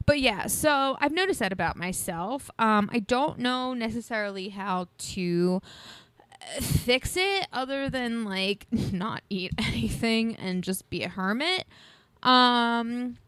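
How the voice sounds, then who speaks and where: A woman's voice: on the high side, takes it slowly, a bit bright, clean tone, no echo. A woman around thirty co-hosting a podcast, chatting casually and a bit sniffly, rambling with frequent laughs. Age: 30s. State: sniffly, congested. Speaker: podcast co-host. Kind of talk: casual chat.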